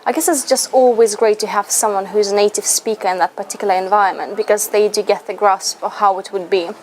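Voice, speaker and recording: A woman's voice: mid-range voice, steady tone, fast talker, a little mellow, poor audio. A woman in her twenties from Lithuania whose first language is Russian, a university student earnestly answering interview questions with frequent "you know" fillers. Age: 20s.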